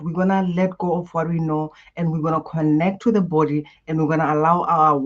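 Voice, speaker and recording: Expressive woman. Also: sits low, lo-fi sound. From Botswana. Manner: expressive, guides listeners through breathing. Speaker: guest coach. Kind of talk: animated interview answers.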